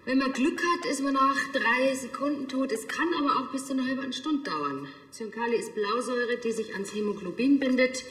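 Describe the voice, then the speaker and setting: A woman's voice: sits high, brisk, few pauses, fairly bright tone. Matter-of-fact woman in echoey room. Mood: dry, clinical. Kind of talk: television crime comedy acting.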